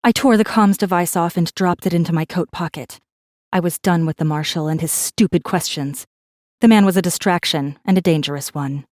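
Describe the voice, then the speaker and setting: A woman's voice: lowish voice, normal speed, a little mellow, no echo, studio quality, natural voice. A woman voicing a wry, guarded rebel messenger who narrates a space fantasy audiobook in a controlled, intimate way. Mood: wry, irritated. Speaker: audiobook narrator in first person. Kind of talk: scripted dramatic narration.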